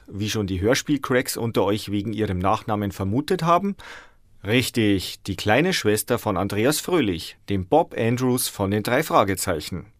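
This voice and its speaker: A man's voice: fairly low pitch, dry recording, studio quality. A man hosting a podcast, presenting scripted announcements to his listeners. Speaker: podcast host.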